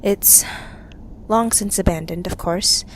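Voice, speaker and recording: A woman playing a young fugitive rebel, bitter and haunted with grief, recording an intimate, halting confessional monologue for an audio drama. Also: lowish voice, a little hiss or hum. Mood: nostalgic, aching.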